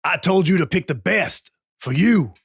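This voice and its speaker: A swaggering outlaw in a tabletop western, acted out by a man, who drawls scornful taunts. The voice sits a bit high and sounds close and dry, with no room around it. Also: expressive, moderate pace, creaky, a bit bright, husky, no room sound, full character voice. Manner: drawling taunts, says dude. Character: cocky, strutting outlaw gunslinger in a western.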